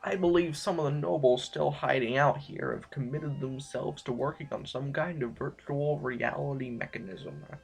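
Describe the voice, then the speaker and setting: A man's voice: medium pitch, normal speed, creaky, few pauses, husky, faint music behind, low-quality recording. A man playing an anxious, wordy duke in an audio drama, speaking in formal, hesitant, ornate phrases. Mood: nervous, eager.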